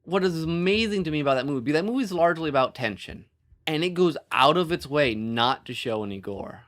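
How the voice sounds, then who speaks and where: Man chatting casually, slightly higher-pitched voice. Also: animated, a little fast, a bit bright. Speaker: podcast co-host. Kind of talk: casual conversational discussion of film and storytelling.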